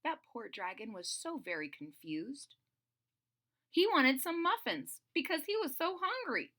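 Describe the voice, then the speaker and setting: A woman reading a storybook aloud to children, expressive and conversational, speaking directly to young listeners. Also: sits high, measured pace. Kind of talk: animated children's read-aloud with casual asides.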